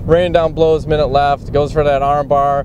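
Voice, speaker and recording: A man co-hosts a casual sports chat in blunt, slangy language. His voice is a little high, recorded in a car with clear road rumble. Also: level tone, normal speed, a little mellow. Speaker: podcast co-host. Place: inside a moving car.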